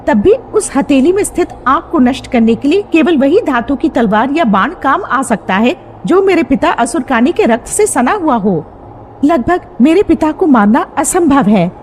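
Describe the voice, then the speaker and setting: A woman playing a young demoness bride-to-be in a cartoon folk tale. Her voice is high, and she explains things with reassuring confidence. There is faint noise behind her. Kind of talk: animated folk-tale dialogue.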